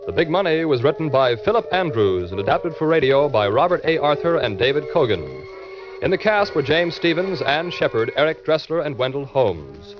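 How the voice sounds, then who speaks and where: A man's voice: fairly high pitch, big pitch swings, normal speed. A man announcing scripted credits in a formal, polished 1940s radio style. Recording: dull old radio recording, loud music. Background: music.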